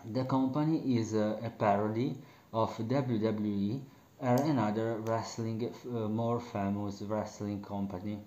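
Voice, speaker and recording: Italian guy rambling about wrestling in slow broken english, lots of uh, roomy lo-fi bedroom webcam sound. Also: fairly low pitch, a little mellow. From Italy. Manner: halting, many uh fillers and restarts in non-native English. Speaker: wrestling commentary host. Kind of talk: casual solo talk to camera. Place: small bedroom, indoors.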